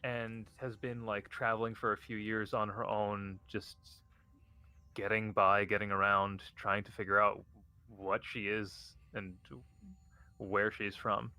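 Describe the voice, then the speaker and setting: A man playing a tabletop game, casually improvising backstory in a halting, tentative way. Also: on the low side, level tone, bright, forceful tone, clear, resonant tone.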